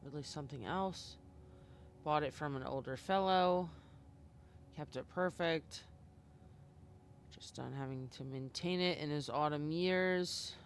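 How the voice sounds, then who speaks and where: A man with a somewhat high voice streams a video game. He talks informally through his play and reads text aloud, with a faint rumble of noise behind him. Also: measured pace, pauses often. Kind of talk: live playthrough commentary and reading aloud.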